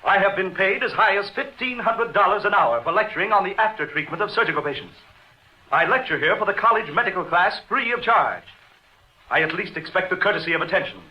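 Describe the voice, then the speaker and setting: A dull, lo-fi old radio drama carries a stern professor of surgery. The man's voice sits a little high, and he speaks with imperious, formal diction. He comes across as secretive, brooding and impatient. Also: animated, fairly quick. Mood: stern lecturing. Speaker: actor in a horror radio drama. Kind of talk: old-time radio acting.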